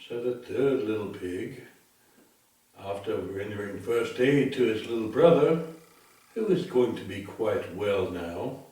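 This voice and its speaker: A man telling a darkly comic bedtime story in a deliberate, theatrical, mock-sympathetic way. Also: on the low side, warm tone. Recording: very echoey room, distant microphone.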